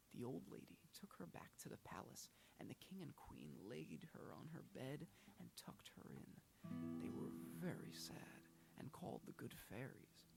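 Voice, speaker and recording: A man narrating a children's fairy tale in a soft, hushed, breathy reading. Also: on the high side, rough recording. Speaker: storyteller. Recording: loud guitar music behind. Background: loud plucked guitar music.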